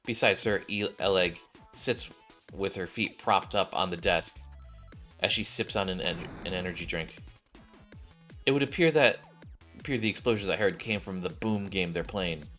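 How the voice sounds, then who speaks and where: Bright-toned, low-pitched man reading game story. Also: normal speed, faint music behind. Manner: stumbles while reading and corrects himself. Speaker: streamer narrating game cutscenes.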